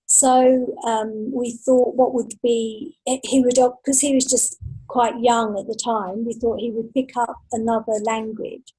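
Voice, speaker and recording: A British woman talks as a guest in a casual interview, in a fairly high, mellow voice with a slightly husky edge. She answers thoughtfully, pausing and feeling for her words. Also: level tone, rough recording. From Britain. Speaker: podcast guest, science fiction author.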